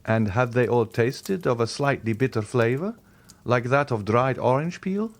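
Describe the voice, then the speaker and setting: A man voicing a grave, protective middle-aged nobleman who gives solemn, measured warnings. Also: medium pitch, animated, pitched above the performer's own voice, close to an everyday voice.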